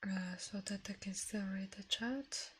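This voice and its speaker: A woman doing a soft ASMR livestream, reading fables and chatting in a gentle, hushed, breathy whisper, close to the microphone with slightly non-native phrasing. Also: mid-range voice, unhurried, slight background noise.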